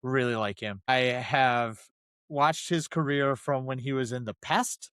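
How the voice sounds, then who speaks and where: A man's voice: mid-range voice, unhurried, a bit bright, clear, resonant tone, dry recording, clean studio sound. A man co-hosting a casual film discussion podcast, talking emphatically and repeating phrases for stress. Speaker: podcast co-host.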